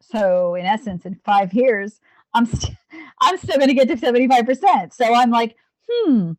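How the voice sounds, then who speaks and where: A woman's voice: a little high, animated, moderate pace, no echo, poor audio. A woman in her fifties, a police officer and Navy veteran, talking earnestly in an interview with frequent restarts. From United States. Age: 50s. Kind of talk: candid interview talk.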